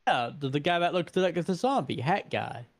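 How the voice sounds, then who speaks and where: A man's voice: fairly high pitch, animated, moderate pace. A man talking casually as a player in a tabletop role-playing game.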